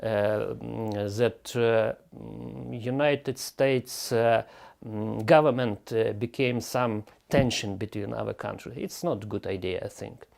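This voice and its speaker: An older man from western Ukraine, a retired air force colonel answering interview questions in halting English with many pauses. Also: fairly low pitch, fairly even intonation, vocal fry, a little mellow.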